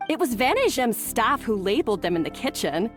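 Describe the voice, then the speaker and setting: A woman's voice: on the high side, big pitch swings, fairly quick. A woman playing a smug, taunting rival chef in a video game, mocking and self-assured. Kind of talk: video game dialogue acting. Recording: studio dialogue, faint game music.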